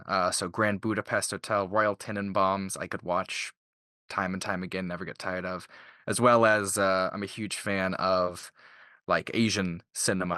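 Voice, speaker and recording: A man's voice: deep voice, bright, forceful tone, dry recording, studio quality. A man being interviewed as a voice actor, talking casually and informally with enthusiasm.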